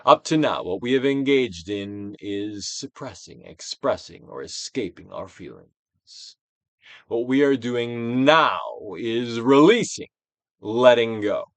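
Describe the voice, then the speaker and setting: A man giving a dramatic, Shakespearean-style reading of a self-help book, deliberate and theatrical, with drawn-out emphasis. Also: expressive, no echo. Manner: theatrical Shakespearean delivery, swinging from hushed to booming. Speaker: audiobook reader.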